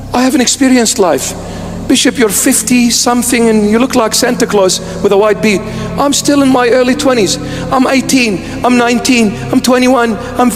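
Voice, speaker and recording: Whiny young man pleading to skip church, high and excited as a preacher acts him out, in a reverberant hall with music. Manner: whiny, pleading, rising to a shout. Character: pleading fun-loving young man in his twenties.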